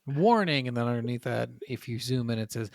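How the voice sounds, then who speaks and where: A man's voice: mid-range voice, expressive, a little fast, dry recording, studio quality. A man co-hosting a gaming podcast, chatting informally and swearing casually. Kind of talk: casual chat about video games.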